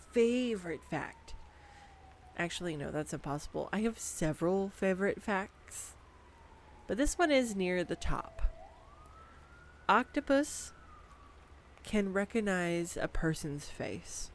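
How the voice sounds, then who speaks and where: Brief: woman, girlfriend roleplay, excitable and playful, unhurried delivery, faint room hiss behind. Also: on the low side. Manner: bubbly, excitable and playful, gushing over facts. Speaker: audio roleplay voice actress. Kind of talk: scripted girlfriend roleplay monologue. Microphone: studio condenser mic.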